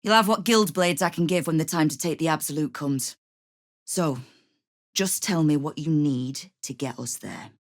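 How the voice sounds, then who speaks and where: A hard-bitten female guild boss from a fantasy game speaks in a low, gravelly voice, close and dry in the recording. She is sardonic and commanding, used to giving orders and making threats with dry wit. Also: moderate pace, dry recording. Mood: businesslike menace. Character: tough, sardonic woman crime boss ruling a city thieves' guild. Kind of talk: video game character acting.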